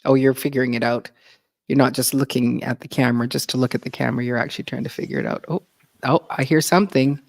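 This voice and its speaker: A woman's voice: very deep voice, steady tone, fast, vocal fry, darkish tone, dry recording, studio quality. A woman hosting a casual livestream, chatting with viewers and a guest, laughing often and filling her talk with ums and restarts. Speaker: livestream host.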